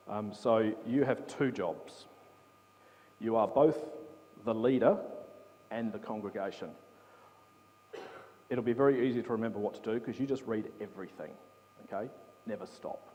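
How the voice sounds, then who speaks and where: A man gives an interactive Bible talk to a congregation in a mid-pitched voice, chatty and informal. He keeps checking in with his listeners. The hall leaves his voice with a long, ringing reverb. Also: a little slow, long pauses, dark tone. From England. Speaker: preacher. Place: church hall.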